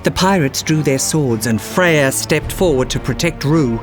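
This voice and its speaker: A storyteller giving an expressive scripted reading of a children's fairytale, with music underneath. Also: mid-range voice, a little slow.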